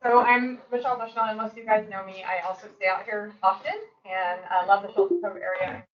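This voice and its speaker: A woman's voice: medium pitch, steady tone. A woman county supervisor opening a public meeting, speaking informally with many ums and restarts. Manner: informal, halting, with many fillers. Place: community meeting room. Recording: dull roomy sound from far off.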